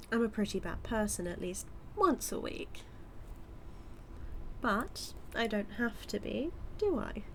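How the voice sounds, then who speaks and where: Woman to act British villainess for intimate audio drama; unhurried, smug, seductive teasing of a superhero rival, light ambient noise underneath. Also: medium pitch. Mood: coy, needling. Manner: teasing, sly, flirtatious, drawn-out delivery. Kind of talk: intimate audio roleplay monologue.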